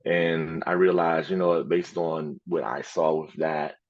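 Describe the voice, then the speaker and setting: A man's voice: extremely low pitch, little pitch movement, a little slow, dry recording, highs cut off. A man hosting a podcast who talks emphatically and repeats phrases to drive his point home. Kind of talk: podcast conversation.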